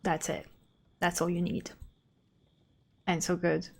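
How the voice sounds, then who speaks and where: A woman's voice: on the low side, completely flat delivery, unhurried, vocal fry, husky. A woman from Europe streaming a game, talking casually as she comments on what she plays. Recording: game music behind the voice. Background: game music.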